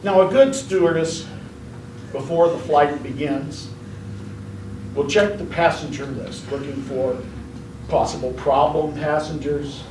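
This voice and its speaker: A man telling a tall tale as a speech contestant, in a measured, deadpan comic storytelling style. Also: on the high side. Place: indoor room at a speech contest. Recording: roomy, distant mic, faint noise.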